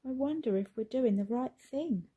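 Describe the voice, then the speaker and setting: A woman's voice: a little high, normal speed, warm tone, husky, lo-fi sound, pitched above the performer's own voice. Woman acting a caring, motherly storybook wife. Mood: worried. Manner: caring, exclaiming. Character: kind-hearted, decisive, motherly wife. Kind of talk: children's storybook read aloud.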